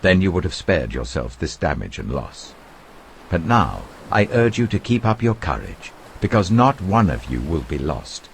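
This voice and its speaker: Casting: commanding, earnest male apostle played by a male reader, deep voice, animated and urgent oratory for scripture audio. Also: moderate pace, slight background noise. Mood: urging. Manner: urgent, emphatic, reassuring oratory.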